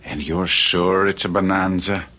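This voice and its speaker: Reckless, eager, low-voiced gambler. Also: male voice, little pitch movement, unhurried, bright, forceful tone. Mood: eager, determined. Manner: florid, theatrical, eager. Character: reckless, smooth-talking male gambler desperate for money. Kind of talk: old-time radio drama acting.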